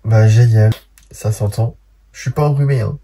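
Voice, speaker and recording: A man's voice: fairly low pitch, steady tone, normal speed, dark tone, slight background noise. A tired man with a stuffy, congested nose talking to camera about his allergies indoors. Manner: tired, weary complaining. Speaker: renovation vlogger.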